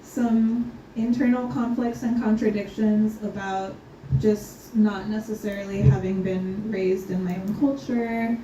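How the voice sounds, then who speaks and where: A woman speaking thoughtfully on a panel, pausing often with ums and light laughs. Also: mid-range voice, flat delivery, warm tone, a little hiss or hum. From United States. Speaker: scholar guest. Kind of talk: panel conversation. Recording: roomy, distant, slightly dull.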